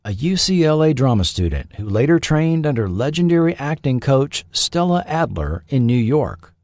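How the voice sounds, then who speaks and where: A man narrating a scripted documentary in a measured, formal storytelling manner. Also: medium pitch, a little mellow, no echo. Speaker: podcast host and narrator.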